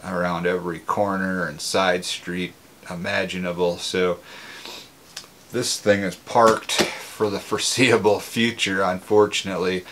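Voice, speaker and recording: A man's voice: deep voice, flat delivery, measured pace, fairly bright tone, a little hiss or hum. A man gives a casual, conversational, plain-spoken product review. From Minnesota, United States. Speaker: motorcycle gear reviewer.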